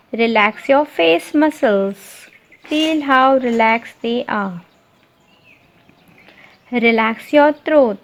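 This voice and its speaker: A woman leading a guided meditation, giving repetitive, soothing instructions with deliberate pauses. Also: high voice, fairly bright tone. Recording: muffled lo-fi, noisy, birdsong behind. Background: birdsong and ambient nature sounds.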